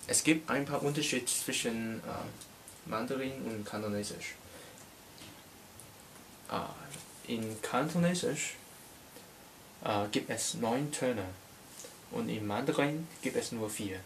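A young Cantonese-native man from Hong Kong explains his language in a small bedroom, speaking slowly in a middle-pitched voice. He hesitates often as he works out each phrase, and a faint hiss sits under the camera-recorded sound. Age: young adult. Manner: slow, halting, many hesitations. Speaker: language teacher. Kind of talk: casual language lesson. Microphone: camera in front at desk distance.

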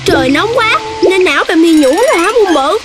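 A woman voicing an excitable little dalmatian puppy boy in a children's cartoon, childlike and expressive. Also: extremely high pitch, normal speed, very noisy. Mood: bossy, annoyed. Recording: loud music behind the voice.

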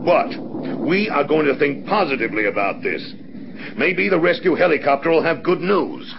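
A man acting in a radio drama as a measured, resolute air force colonel. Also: fairly high pitch, big pitch swings, creaky. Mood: firm, resolute. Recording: dull, noisy vintage radio recording.

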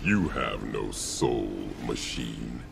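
An actor playing a menacing, imperious alien warlord in a game cutscene, speaking gravely and formally with a deep, gravelly voice. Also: male voice, animated, drawn-out words, noisy background, full character voice. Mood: scornful, commanding. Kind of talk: video game cutscene acting.

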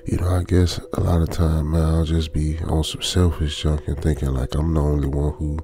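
A man playing a lonesome, uneasy young trucker, muttering colloquially with pauses in an ASMR radio drama. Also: a bass voice, level tone, vocal fry, dark tone, slight background noise. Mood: remorseful, tender. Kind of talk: ASMR old-school radio drama monologue.

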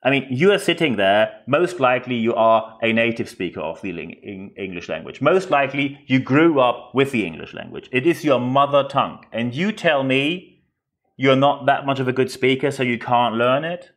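A man's voice: mid-range voice, lively intonation, normal speed. German-accented male podcast host, emphatic delivery. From northern Germany. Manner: emphatic, rhetorical questions and repetition. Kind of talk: unscripted solo podcast commentary.

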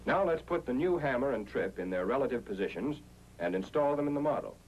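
Man, American accent, 1940s instructional narration, animated intonation, emphatic explainer, vintage film soundtrack, faint hum. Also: medium pitch, fast, poor audio.

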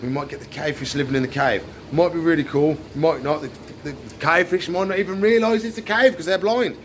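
Chatty man, slightly high voice, noisy room. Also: fairly quick. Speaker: pet shop vlogger. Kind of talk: casual unscripted vlog chat. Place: indoor aquarium shop.

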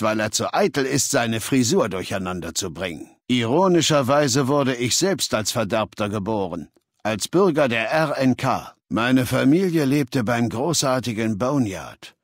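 A man voicing a cold, imperious warlord for a video game, speaking in a measured, self-assured, lecturing way. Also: medium pitch, no room sound, studio quality. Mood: wry, scornful, then reflective, self-assured. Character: imperious, cold male warlord ruling a slave-taking legion. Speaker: game character voice. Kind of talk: German video game dialogue.

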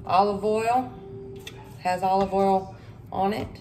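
A chatty woman with a drawl talks her viewers through a recipe as she cooks. She sounds folksy and easygoing, with a medium pitch. Her voice comes through the camera mic in a home kitchen, with a faint room hum behind it. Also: steady tone, slow, a little mellow. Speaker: home cooking vlogger. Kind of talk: casual cook-along talk to camera.

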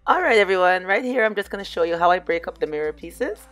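Hoarse woman narrating a crafts tutorial. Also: lowish voice, fast talker, soft background music. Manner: relaxed, chatty, addresses viewers as "you guys". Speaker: DIY crafts vlogger. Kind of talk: casual crafting tutorial voice-over.

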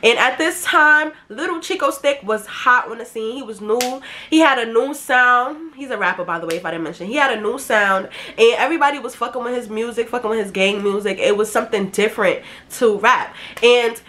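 A woman's voice: on the high side, brisk, a little hiss or hum. A young woman from Brooklyn, telling a story in a slangy, swearing manner, sounding nasal and congested from a head cold, on a webcam in a small bedroom. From Brooklyn, New York. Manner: brash, slangy and animated, swearing freely. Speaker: YouTuber. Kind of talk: casual storytime chat to camera.

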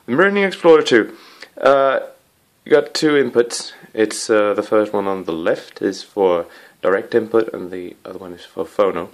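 A man giving a casual hands-on video review in a living room, rambling with apologetic asides and a playful, excitable streak. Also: on the low side, normal speed. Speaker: amateur gadget reviewer. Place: living room with wooden floor and rug.

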